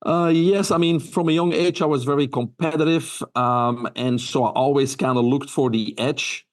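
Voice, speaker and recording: A man's voice: medium pitch, steady tone, normal speed. A man in his fifties from Belgium with a Belgian accent, giving earnest interview answers full of fillers and restarts. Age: 50s. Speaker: guest.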